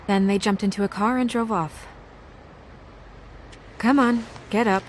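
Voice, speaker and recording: A mid-pitched woman playing a composed sheriff's companion in a noir game. She sounds measured and dry, with clear background noise around her. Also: steady tone, lightly acted. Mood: dry, practical. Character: composed, dryly concerned female companion to a sheriff. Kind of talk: noir video game voice acting.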